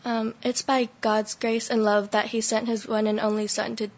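Flat, higher-voiced woman giving testimony. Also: moderate pace, muffled recording. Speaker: baptism candidate giving testimony. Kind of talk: short church testimony. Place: church.

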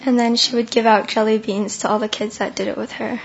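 A young adult woman with a hoarse, worn voice, giving her testimony at church in short chunks. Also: on the high side, flat delivery, quick pace, muffled recording, slight background noise. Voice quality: gravelly, husky. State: hoarse, lost voice. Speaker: baptism candidate giving testimony.

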